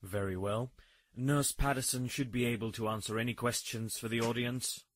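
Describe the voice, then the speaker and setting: An actor playing a curt, businesslike doctor in a horror audio drama. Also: male voice, medium pitch, steady tone, moderate pace, close to an everyday voice. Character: businesslike male doctor leading an experiment.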